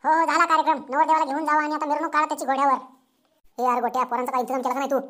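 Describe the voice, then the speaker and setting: A woman voicing an eager young village character in a comedy cartoon, calling out excitedly. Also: high voice, rapid-fire. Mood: eager, bossy.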